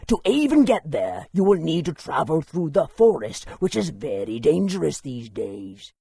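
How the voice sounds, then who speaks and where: An actor playing a courteous, bookish town historian in a fantasy game, speaking politely and in a measured, scholarly way. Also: male voice, a little high, very animated, gravelly, dark tone, husky, no room sound, studio quality, exaggerated voice. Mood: helpful, cautionary.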